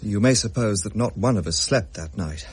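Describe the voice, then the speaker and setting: An actor playing an English gentleman who recounts a ghostly tale in a measured, old-fashioned audio drama style. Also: on the low side, lively intonation, breathy edge. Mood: grave, haunted. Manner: measured, genteel, grave.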